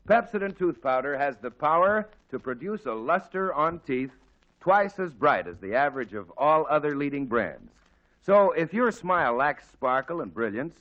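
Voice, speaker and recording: A man announcing a 1940s radio show and reading its sponsor's ad in a smooth, cheerful salesman's manner. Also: mid-range voice, a bit bright. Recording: muffled 1940s radio recording.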